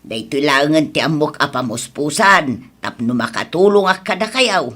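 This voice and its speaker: A woman's voice: sits low, lively intonation, moderate pace, fairly bright tone. A woman plays a long-suffering middle-aged mother with an Ilocano accent. She is stern and exasperated, pressing each point emphatically in the manner of radio drama. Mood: apologetic, supportive.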